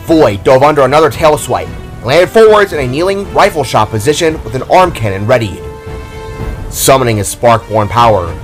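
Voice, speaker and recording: A man giving dramatic, theatrical narration of a scripted fantasy story. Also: medium pitch.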